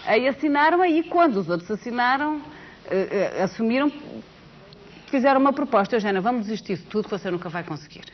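A middle-aged woman from Portugal with a Portuguese accent tinged with Brazilian sounds, chatting as a TV guest about her project and telling stories with animated asides. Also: a little high. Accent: Portuguese with Brazilian touches. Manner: animated storyteller, gesturing, quick asides. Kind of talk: casual chat on a TV talk show. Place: TV studio set. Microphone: lapel mic. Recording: dull lo-fi TV broadcast with faint hiss.

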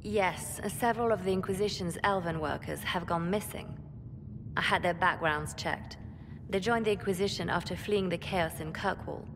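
A woman's voice: fairly low pitch, normal speed, noisy background, close to an everyday voice. A woman voicing a grave, composed spymaster. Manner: calm, grave, clipped. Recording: game audio with long reverb and music.

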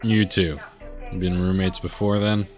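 A man's voice: low-pitched, little pitch movement, measured pace, soft background music. A man playing a wary, tough-talking inmate in an audio drama, blunt and sardonic. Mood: wary, casual. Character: wary, tough-talking foul-mouthed male new asylum inmate.

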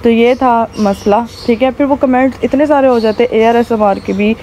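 A hoarse woman rambles through a casual vlog, her voice mellow and soft-edged. She films outdoors on a rooftop with a phone camera. Faint traffic and outdoor noise sit behind her. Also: a little high, moderate pace. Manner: chatty, rambling, with many fillers. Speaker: vlogger.

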